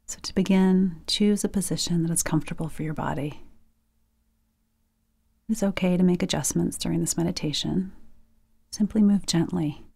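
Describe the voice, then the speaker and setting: A woman leading a guided sleep meditation softly and gently, nearly whispering, in short phrases with pauses. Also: lowish voice. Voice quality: breathy, soft, near-whispered.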